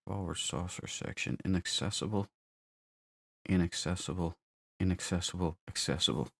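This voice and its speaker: A man's voice: low voice, steady tone, normal speed, creaky, no echo, clean studio sound. A man hosting a casual game playthrough, muttering to himself and playfully repeating phrases. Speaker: let's play host. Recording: loud game ambience behind. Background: video game sound.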